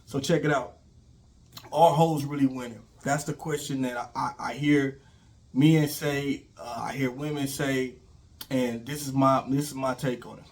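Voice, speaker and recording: A middle-aged man who is feeling sick and slightly raspy, hosting his own channel and talking through relationship advice in a rambling, informal way with many fillers. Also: medium pitch, steady tone, a little slow. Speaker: YouTube host. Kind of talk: casual relationship advice talk.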